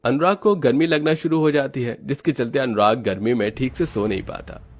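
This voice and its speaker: A man's voice: mid-range voice, brisk, soft background music. A man giving scripted narration as the storyteller of a moral tale.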